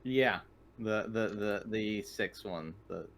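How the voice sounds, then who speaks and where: A man playing an online tabletop game, chatting casually and thinking aloud in a halting way. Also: on the low side. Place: online voice call.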